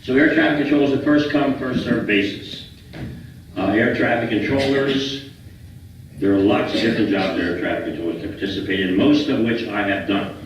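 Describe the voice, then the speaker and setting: An American man in his seventies giving an informal lecture as a guest speaker, in a halting way with repeated and restarted phrases. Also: fairly low pitch, crowd noise. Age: 70s. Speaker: guest speaker, retired air traffic controller. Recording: roomy, distant, dull, faintly noisy hall recording.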